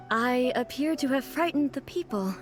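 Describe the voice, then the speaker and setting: A regal, high-voiced ruler. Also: female voice, fairly bright tone. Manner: formal, measured, stately. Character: regal, composed female ruler, aloof and naively curious. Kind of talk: video game character acting. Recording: music behind the voice.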